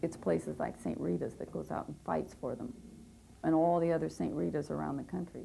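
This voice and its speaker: A woman's voice: low-pitched, steady tone, brisk, creaky, noisy background. A woman advocate and teacher speaking earnestly and with passion in a documentary interview, sometimes restarting her sentences.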